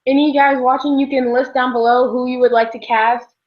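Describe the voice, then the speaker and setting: A young woman with a fairly high voice co-hosts a casual book chat. She speaks informally, as if among friends. The recording is a little dull and lo-fi. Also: flat delivery, fairly quick. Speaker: book discussion show co-host. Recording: dull, lo-fi sound.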